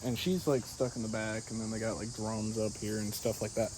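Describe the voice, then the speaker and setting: A man's voice: on the low side, level tone, fairly quick. A man talking in a casual, rambling, slangy vlog style, chatting to viewers as he goes. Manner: laid-back slangy talk, calls listener dude. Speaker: vlogger. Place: outdoors in a yard. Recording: outdoor recording with clear insect and ambient noise.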